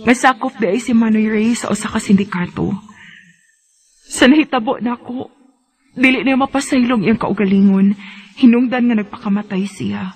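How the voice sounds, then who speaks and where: A woman's voice: fairly high pitch, moderate pace, pauses often, natural voice. A woman with a Bisaya accent acting in a radio drama as an anguished young sister, tearful and melodramatic. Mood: sorrowful recounting. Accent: Filipino Bisaya. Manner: wailing, tearful, melodramatic delivery. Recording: loud music under the voice.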